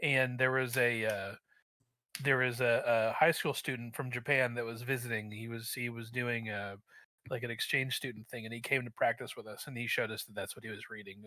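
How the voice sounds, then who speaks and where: A man's voice: medium pitch, level tone, fairly quick, sharp tone, dry recording, rough recording. A man chatting casually as a podcast guest, feeling his way through his thoughts and wandering off on tangents. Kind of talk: casual chat about movies.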